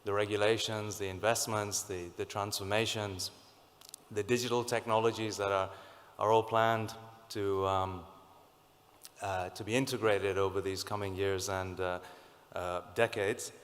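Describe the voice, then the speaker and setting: A man with a croaky sore throat presents to a conference audience, his voice sitting somewhat low. He halts often, fills pauses and restarts his sentences. The sound carries a long reverb from the hall. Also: level tone. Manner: halting, many fillers and restarts. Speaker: conference speaker. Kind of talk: technical presentation.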